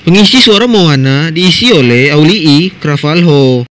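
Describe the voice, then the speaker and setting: A man's voice: fairly high pitch, animated, normal speed. A man with an Indonesian accent reading scripted narration for a video.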